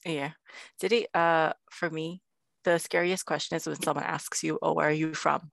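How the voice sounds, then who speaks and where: Woman, thirties, guest on a chat show, low-pitched and close-miked, animated storyteller. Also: moderate pace, vocal fry, dry recording. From Philippines. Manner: mixes English and Indonesian, animated storytelling. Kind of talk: casual chat.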